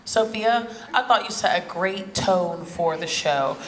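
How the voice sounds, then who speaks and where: A woman's voice: on the low side, unhurried, a bit bright. A woman giving encouraging, conversational feedback to performers as a theatre judge.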